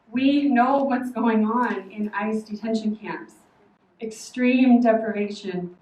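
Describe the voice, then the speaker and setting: Earnest woman speaking in an echoey hall. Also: on the high side. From Oklahoma. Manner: earnest, measured, firm. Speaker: public commenter. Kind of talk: prepared public comment at a meeting. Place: public meeting hall. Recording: echoey hall, distant mic, faint noise.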